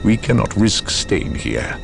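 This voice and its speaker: An imperious inquisitor, low and gravelly. He speaks with cold courtesy and condescension, his pitch moving dramatically, over clear game cutscene music. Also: sing-song, heavily acted. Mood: grave, urgent. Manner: clipped, condescending, deliberate. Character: imperious, coldly polite inquisitor, a powerful zealous official.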